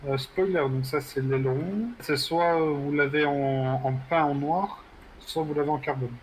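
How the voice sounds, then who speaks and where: A man's voice: fairly high pitch, fairly even intonation, normal speed, a little hiss or hum, low-quality recording. A man roleplaying an obliging mechanic in a game, talking politely like customer service.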